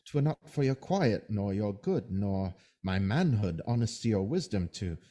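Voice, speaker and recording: An actor playing a scheming soldier who feigns honesty, in measured, insinuating Shakespearean verse for an audio drama. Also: male voice, on the low side, sing-song, dark tone. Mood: cautious, insinuating.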